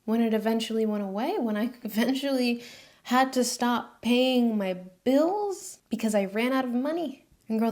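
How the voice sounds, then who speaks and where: A young American woman in her twenties talks straight to camera about her design business. Her tone is chatty and candid, full of slangy asides and loose, conversational phrasing. Her voice sits at an ordinary middle pitch for a woman. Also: a little mellow. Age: 20s. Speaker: freelance designer. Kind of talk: casual talking-head vlog.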